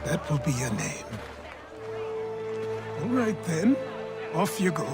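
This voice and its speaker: An actor playing a weary, stern older mentor in a video game, measured and commanding as he instructs. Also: a little high, very animated, vocal fry, exaggerated voice. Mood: firm, instructing. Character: weary, stern old mentor, worldly and guarded man. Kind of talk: video game cutscene acting. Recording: loud background music.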